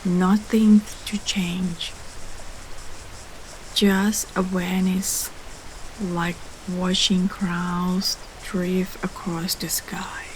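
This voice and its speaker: A woman gently guiding a meditation in a soft voice that turns breathy at phrase ends, soothing and unhurried, with long pauses. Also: lowish voice, rough recording. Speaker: meditation guide. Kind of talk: guided meditation narration. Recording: clearly audible steady background ambience noise.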